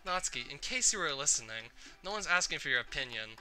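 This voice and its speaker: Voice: mid-range voice, big pitch swings, fast, bright, forceful tone, faint music behind, poor audio. A performer voicing a stern, condescending teenage girl club president who scolds in a visual novel. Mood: icy, sarcastic.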